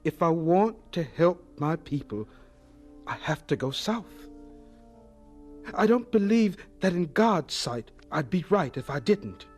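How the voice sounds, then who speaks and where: Earnest, humble male scientist for radio drama; higher voice, very animated, halting with emotion. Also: faint music behind. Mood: earnest, resolute. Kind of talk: audio drama acting.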